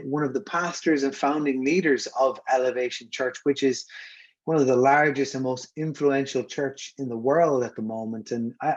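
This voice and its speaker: An Irish-accented man hosting a conversational podcast interview as a pastor, speaking in an earnest, appreciative way. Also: medium pitch. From Ireland. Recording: dull, slightly lo-fi audio.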